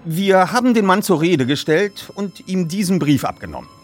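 A man's voice: high voice, expressive, moderate pace, soft background music, voice pushed up. A man voicing a polite, self-assured teenage boy detective with formal, precise speech in an audiobook. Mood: formal, confident.

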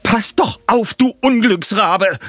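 Man voicing a brisk, elegant young female secretary, pitched up. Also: a bit bright, dry recording, faint music behind, exaggerated voice. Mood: angry, scolding.

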